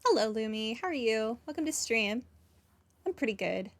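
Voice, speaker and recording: A woman's voice: a little high, animated, moderate pace. Dryly sarcastic woman streamer. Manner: dry, playfully sarcastic. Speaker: game streamer. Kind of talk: livestream game commentary, casual chat.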